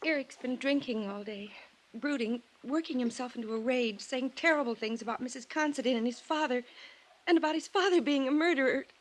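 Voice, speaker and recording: A woman's voice: high voice, brisk, close to an everyday voice, low-quality recording. A woman playing an emotional, anxious young wife in a 1950s radio drama. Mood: frightened, pleading. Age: young adult.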